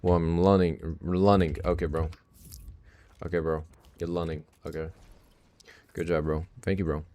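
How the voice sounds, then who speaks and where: A man's voice: low voice, normal speed, long pauses. Laid-back Czech man streaming a game. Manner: relaxed, mumbling asides, repeats short phrases. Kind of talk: casual live-stream chat while playing, reading chat aloud.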